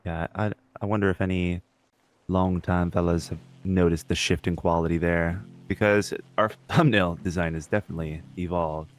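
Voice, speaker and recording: A man's voice: low-pitched, fairly even intonation, moderate pace. A young man co-hosting a podcast, chatting informally and swearing casually. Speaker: podcast co-host; substitute teacher.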